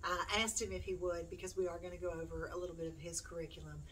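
A woman's voice: on the low side, little pitch movement, quick pace, a bit bright. A middle-aged woman chatting casually to camera in a rambling, self-deprecating way. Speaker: homeschooling mom, YouTube host. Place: small room at home, indoors. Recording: distant mic, noisy room.

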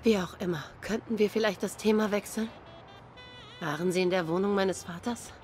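A woman's voice: mid-range voice, normal speed, darkish tone, soft background music, natural voice. An actress playing a grieving young cat woman in a noir game, guarded, bitter and sardonic in a subdued way. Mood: guarded, weary. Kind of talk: noir game dialogue acting.

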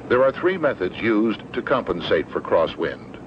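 Low-voiced American training film narrator, male. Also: sing-song. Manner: measured, matter-of-fact, formal. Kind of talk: scripted instructional narration, 1970s training film. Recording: dull, noisy old 16mm film soundtrack.

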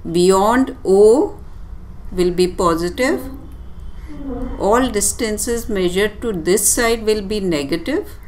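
A woman teacher giving a step-by-step tutorial explanation in a deliberate, instructive way with short, pausing phrases. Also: mid-range voice. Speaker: physics teacher. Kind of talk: step-by-step tutorial explanation over handwritten working.

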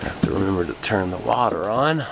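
A low-pitched man with a gravelly, fry-heavy voice walks through his basement setup in a relaxed, rambling way. He hesitates often with fillers. The camcorder audio carries a steady hum of fans and air conditioning. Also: fairly quick. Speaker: home winemaker. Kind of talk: casual walkthrough vlog. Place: home basement. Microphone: handheld camera. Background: fan and air conditioning hum.

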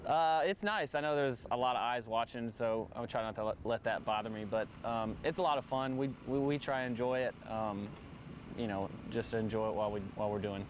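A man's voice: mid-range voice, a little fast, noisy background. A man in his thirties, a stadium field manager, answering interview questions casually with many ums and repeated words, recorded outdoors on a lapel mic. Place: outdoors in a large empty stadium.

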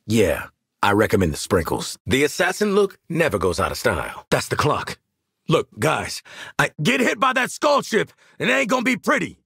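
A tough video game mercenary sniper, with a forceful, gravelly voice that sits fairly high and swings widely in pitch. He talks with cocky, streetwise swagger and wry, slangy profanity. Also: no echo, studio quality, full character voice. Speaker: game character voice actor. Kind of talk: short video game combat and banter lines.

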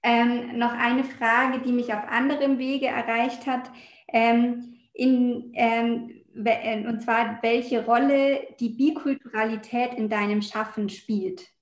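A woman in her thirties moderating an online reading, haltingly relaying audience questions over a video call. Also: a little high, level tone, roomy sound. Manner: halting, pausing mid-sentence. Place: indoors, home room on a video call.

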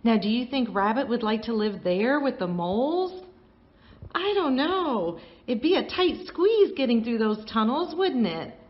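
A mature woman hosts story time for young children, with a high voice and big swings in pitch. She is expressive and questioning, and the sound is slightly dull. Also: measured pace. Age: middle-aged or older. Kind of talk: storybook reading and chatting to children.

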